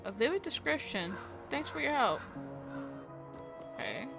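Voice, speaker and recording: A woman's voice: high voice, sing-song, a little slow, vocal fry. A woman game streamer giving casual playthrough commentary and reading game text aloud. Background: game music.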